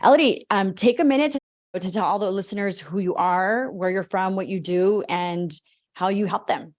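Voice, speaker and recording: A woman's voice: mid-range voice, moderate pace. A woman hosting a podcast, eager and chatty, running her sentences together as she introduces a guest. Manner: eager, talks in long run-on sentences. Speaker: podcast host. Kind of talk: podcast interview, enthusiastic intro.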